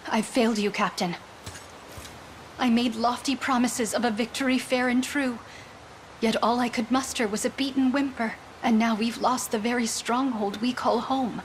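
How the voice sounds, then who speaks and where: A woman's voice: steady tone, moderate pace, slight background noise, close to an everyday voice, poor audio. A woman voicing an earnest, proper young noblewoman who dreams of knighthood, speaking formally in a video game. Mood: ashamed, bitter. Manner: formal, high-flown, earnest diction. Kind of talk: scripted video game voice acting.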